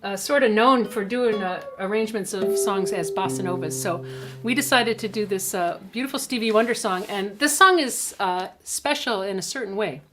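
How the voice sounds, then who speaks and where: A woman co-hosting a live music stream, singing and chatting casually, a little hoarse, sharing one microphone. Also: medium pitch, normal speed. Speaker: singer and co-host of a music livestream. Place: home livestream setup. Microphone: shared stand microphone. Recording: loud music behind the voice.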